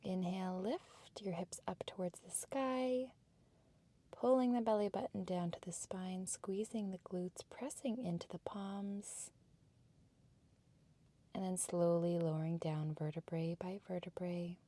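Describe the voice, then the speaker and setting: Woman, fitness instructor, slow pace, mellow tone, soft breathy cues, guided relaxation style. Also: lowish voice, steady tone. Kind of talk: guided workout instruction with relaxation meditation cadence.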